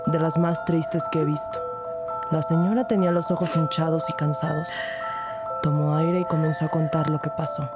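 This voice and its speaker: Audio drama lead: composed woman detective telling her case, acted, measured delivery, slightly low voice, strong score. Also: little pitch movement, over loud music, natural voice. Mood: somber. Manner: measured, storytelling. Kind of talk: scripted audio drama narration.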